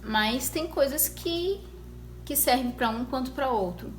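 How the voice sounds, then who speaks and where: A young woman speaking casually about her own life, her voice sitting a little high. She talks through her story with pauses and restarts. The room around her sounds echoey, with a faint hiss underneath. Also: animated. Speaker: mother sharing her experience. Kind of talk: casual personal vlog.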